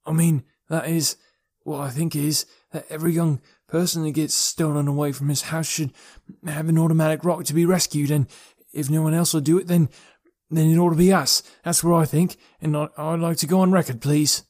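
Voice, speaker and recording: Hesitant idealistic young man for an audiobook, raised pitch, faltering delivery, acted by a male narrator. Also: level tone, a little fast, darkish tone, no room sound, clean studio sound, close to an everyday voice. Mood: nervous, earnest. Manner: faltering, hesitant, repeats himself. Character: shy, earnest, skinny young man with strong convictions. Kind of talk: audiobook character voice.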